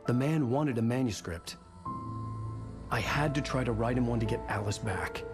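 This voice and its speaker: A man's voice: mid-range voice, normal speed, music in the background, lightly acted. An actor playing a tense, brooding male thriller writer in a video game, terse in speech. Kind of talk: video game acting and scripted narration.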